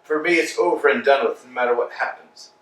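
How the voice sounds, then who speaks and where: A man's voice: medium pitch, fairly quick, natural voice. An actor playing a weary, beaten-down male tramp in a staged play reading, gloomy and plaintive, recorded in an echoey room from a distance. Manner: gloomy and plaintive, flat denials. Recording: echoey room, mic far off.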